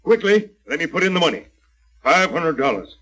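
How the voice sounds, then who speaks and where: A man's voice: on the high side, sing-song, fairly quick, sharp tone, slightly rough, full character voice. An actor playing a worried, authoritative father in an old-time radio serial, earnest, emphatic and commanding. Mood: urgent. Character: worried father, an authoritative leader called Doc.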